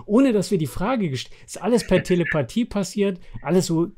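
A man hosting a casual podcast interview, talking haltingly and repeating words as he forms his questions. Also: high-pitched, expressive, darkish tone, slight background noise.

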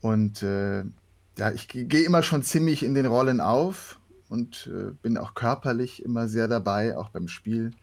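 A man's voice: mid-range voice, moderate pace, vocal fry. A man who works as an actor and voice actor, answering interview questions casually. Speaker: guest, actor and voice actor.

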